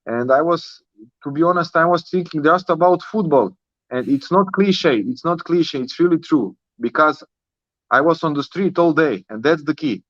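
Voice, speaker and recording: Higher-voiced Croatian man, roomy sound. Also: fairly bright tone. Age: early 30s. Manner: halting, repeats and rephrases in non-native English. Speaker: guest, professional footballer. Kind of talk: casual podcast interview. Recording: roomy, slightly dull sound.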